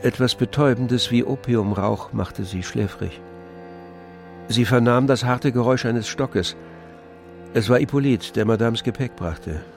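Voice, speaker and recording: A man narrating a literary radio drama in a measured, composed storytelling manner. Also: medium pitch, faint music behind. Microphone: close, dry studio microphone.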